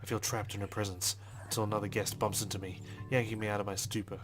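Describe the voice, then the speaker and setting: A man reading visual novel text aloud as a let's player, in a measured, understated storytelling manner with brief asides. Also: on the low side, little pitch movement. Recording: music behind the voice. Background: game music.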